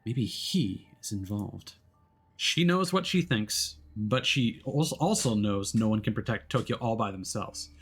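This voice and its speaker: A man's voice: lowish voice, animated, unhurried, slightly rough. A man live-streaming a game, reading its story aloud expressively and dropping in casual asides. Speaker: streamer playing a visual novel.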